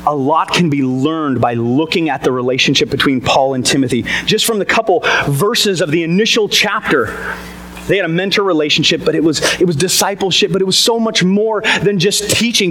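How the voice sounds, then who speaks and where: A man with a boomy voice giving an energetic, chatty sermon to a church congregation, asking the listeners questions. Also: slight background noise. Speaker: pastor. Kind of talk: casual conversational sermon. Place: church service before a congregation. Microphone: amplified mic on a sound system.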